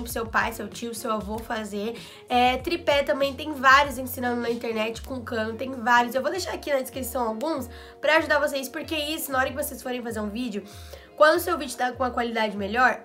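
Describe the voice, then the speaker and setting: A young woman vlogger, slightly hoarse from a cold, giving tips in chatty casual talk full of asides, filmed in her small bedroom studio. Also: high voice, fairly bright tone. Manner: chatty, quick asides and fillers. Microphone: camera in front, head and shoulders framing.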